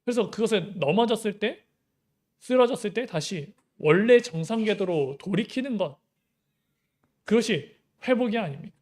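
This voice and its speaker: Male pastor, young adult, high-pitched, chatty sermon style with rhetorical questions. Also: expressive, normal speed, darkish tone. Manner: conversational preaching with many rhetorical questions.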